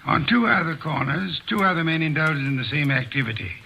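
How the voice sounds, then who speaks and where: Fussy know-it-all detective, old radio play, bit high and bright, talks slow and precise. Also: male voice, creaky, slight background noise. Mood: lecturing. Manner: pompous, precise, measured phrasing. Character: imperious, fastidious male genius detective, middle-aged. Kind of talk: 1940s radio acting.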